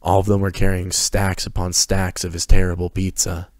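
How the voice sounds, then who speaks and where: A man's voice: low-pitched, monotone, moderate pace, darkish tone, no room sound, clean studio sound. A man narrating prose fiction as a dramatic reading.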